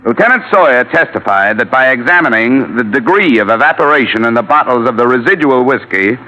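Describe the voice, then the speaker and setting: An actor playing a tough investigator accused of murder in an old-time radio drama, speaking in a terse, direct, intense way. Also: male voice, mid-range voice, lively intonation, brisk, lightly acted. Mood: determined. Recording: dull vintage radio recording.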